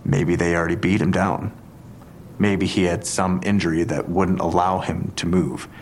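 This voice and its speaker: Male detective, very low voice, deliberate and analytical, audio drama, faint rumble behind. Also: flat delivery. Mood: measured, speculative.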